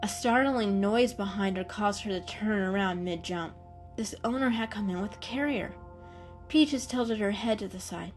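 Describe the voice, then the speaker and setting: A woman reads a story aloud as its narrator. Her pitch is middling for a woman, the delivery is scripted and dramatic, and faint music plays behind her. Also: normal speed.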